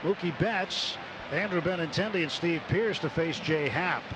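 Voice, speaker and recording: A man's voice: a little high, background noise. A man doing live radio play-by-play of baseball as an announcer, in the manner of a broadcast from the ballpark. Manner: measured between plays, excited on big plays. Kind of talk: live radio sports commentary. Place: stadium broadcast booth.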